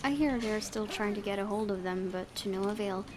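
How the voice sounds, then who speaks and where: A woman's voice: mid-range voice, fairly quick. A woman reading visual novel narration aloud, breaking into casual asides as a gaming YouTuber. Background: background music.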